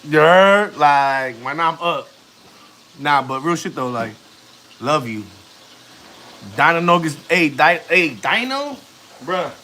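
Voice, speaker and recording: A man's voice: on the high side, lively intonation, takes it slowly, pauses often, pushed and bright, a little hiss or hum. A man in his thirties, a family vlogger, chatting casually on a live stream in slang, rambling and chatty. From United States. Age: 30s.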